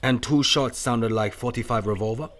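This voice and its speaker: A man's voice: mid-range voice, normal speed, fairly bright tone, close to an everyday voice. A man playing a shrewd, courteous Chinese detective in an audio mystery drama, speaking in clipped formal phrases with dropped articles. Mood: shrewd, observant. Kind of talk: audio drama re-creation of 1930s mystery film.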